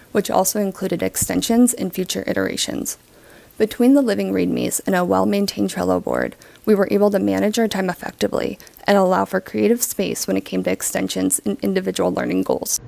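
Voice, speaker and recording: A woman's voice: medium pitch, a little fast, gravelly, a little mellow. A woman giving a scripted presentation as a project team member.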